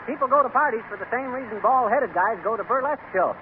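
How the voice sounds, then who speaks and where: An actor playing a cocky, wisecracking husband with a breezy, slangy American manner of speech in a 1930s radio comedy. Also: male voice, very high voice, expressive, fast talker. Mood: cocky, joking. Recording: tinny, noisy old radio recording.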